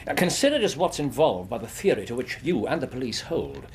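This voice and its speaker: An actor playing an incisive, eccentric Victorian gentleman detective in a radio drama, precise, probing and theatrical, with an English accent. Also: medium pitch, very animated, brisk, slight background noise, close to an everyday voice. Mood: incisive reasoning.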